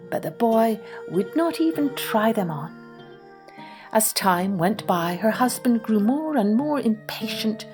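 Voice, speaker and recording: A woman narrating a scripted children's story in an expressive way, dropping to a hush for suspense. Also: fairly high pitch. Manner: expressive, hushed at suspenseful moments. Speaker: storyteller, podcast host.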